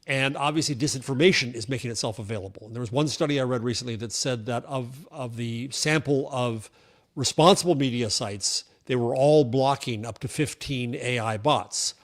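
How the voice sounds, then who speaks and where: An older man speaking as a guest journalist on a podcast, laying out an argument in a thoughtful, conversational way. He often restarts his phrases mid-thought. His voice sits at an ordinary male pitch. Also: normal speed. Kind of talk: casual interview chat.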